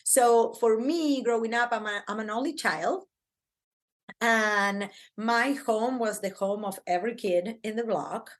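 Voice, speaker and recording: Chatty Argentine-accented woman, high voice. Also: a little slow. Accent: Argentine Spanish. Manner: excitable, talkative, many fillers and restarts. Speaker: podcast guest, branding coach. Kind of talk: casual interview chat.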